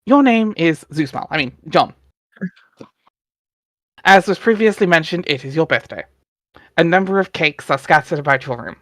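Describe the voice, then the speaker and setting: Dry-sounding streamer reading aloud, mid-pitched voice. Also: animated, moderate pace, pauses often, fairly bright tone, no echo. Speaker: stream host and reader. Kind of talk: dramatic reading mixed with casual livestream chat.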